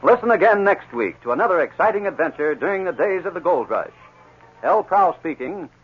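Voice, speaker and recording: A man's voice: high-pitched, very animated, a little fast, a little hiss or hum. A man narrating and announcing a 1940s radio adventure in a dramatic scripted style. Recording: dull 1940s radio recording.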